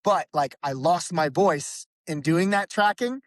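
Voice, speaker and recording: A man's voice: a little high, unhurried, dry recording, clean studio sound. A man talking casually as a guest about his band's vocals, rambling with many restarts and fillers. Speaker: podcast guest, band vocalist. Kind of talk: casual conversation.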